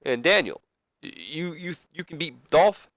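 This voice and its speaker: A man acts out an older wrestling emcee in a raised, excitable voice. He halts and repeats himself as he placates the fighters in a scripted wrestling segment. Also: fairly high pitch, no room sound, pitched above the performer's own voice. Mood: placating. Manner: halting, repeating words, placating.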